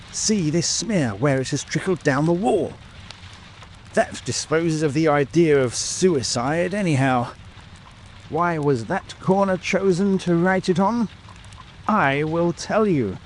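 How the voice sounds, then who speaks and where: A man's voice: fairly high pitch, a little hiss or hum. A man playing a small, pompous, self-satisfied Victorian police detective in a dramatic audiobook, triumphant in manner. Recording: steady rain ambience over voice. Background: rain.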